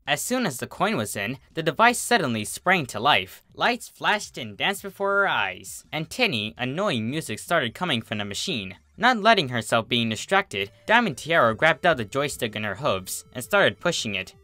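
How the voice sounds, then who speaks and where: Bright, expressive story reader with lively pitch. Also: moderate pace, few pauses, no room sound. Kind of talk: scripted story narration of a comedy fan fiction.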